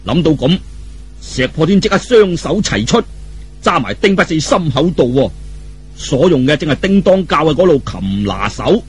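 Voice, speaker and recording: A man's voice: fairly high pitch, sing-song, moderate pace, slightly rough. A man telling a story in colloquial Cantonese, theatrical and dramatic, with vivid exclamations.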